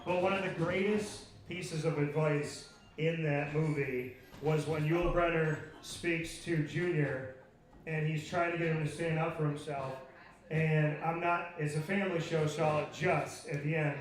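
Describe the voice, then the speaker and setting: A man hosting a casual live on-stage interview, joking and encouraging in an animated way. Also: fairly high pitch, unhurried. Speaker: show host. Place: pub stage, in front of an audience. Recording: echoey pub, distant mic, crowd chatter.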